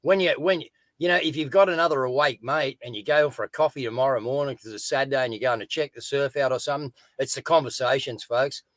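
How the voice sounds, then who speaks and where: A man hosting a live stream, talking loudly and casually to his viewers. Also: fast, few pauses, dry recording. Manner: loud, booming, addresses folks.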